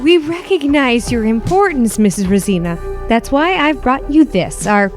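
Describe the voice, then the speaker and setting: A woman's voice: fairly high pitch, lively intonation, moderate pace, faint music behind, natural voice. A woman playing a polished, officious agency coordinator in an audio drama, formal and salesy. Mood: reassuring, officious.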